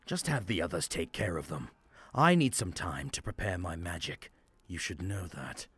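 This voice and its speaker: Man as a husky, scornful cult mage. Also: deep voice, sing-song, moderate pace, fairly bright tone, no room sound, full character voice. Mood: scornful, impatient. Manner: condescending. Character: scornful, impatient male death-defying cult mage. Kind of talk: fantasy audio drama acting.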